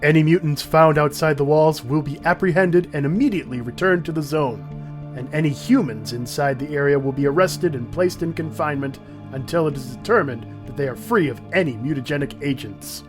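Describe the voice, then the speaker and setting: A man performs a male government official delivering a public address. His voice sits a little high for a man. He is measured and formal, as in a dramatized comic, with light music underneath. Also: close to an everyday voice. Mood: solemn, official. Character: male government official announcing a mutant quarantine.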